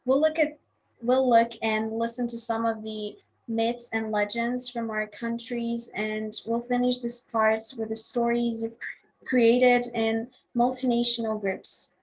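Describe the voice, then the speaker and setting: A woman with a husky voice presenting a scripted welcome as the host of a ceremony. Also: a little high, little pitch movement, unhurried, poor audio. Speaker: host of a school project ceremony.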